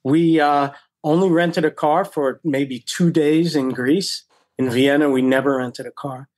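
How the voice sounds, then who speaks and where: A man's voice: on the high side, steady tone, measured pace, darkish tone, no echo. An older American man chatting casually as an interview guest and telling stories from his own experience. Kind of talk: casual storytelling chat.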